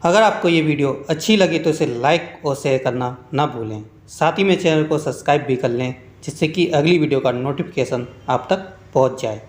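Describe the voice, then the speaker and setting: A man's voice: on the high side, moderate pace, hardly any pauses. A man narrating a scripted lesson as an exam-prep teacher, reading out points one by one in an instructional way. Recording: echoey room.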